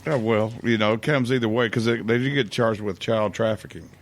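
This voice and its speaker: A man co-hosting a casual podcast, blunt and rambling, with many pauses and fillers. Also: on the low side. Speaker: podcast co-host. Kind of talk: casual profane podcast chat.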